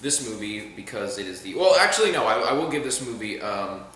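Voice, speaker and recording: A man reviewing a film in casual chat, rambling and sarcastic, with animated outbursts. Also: lowish voice, fairly quick. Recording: very echoey room, faint noise.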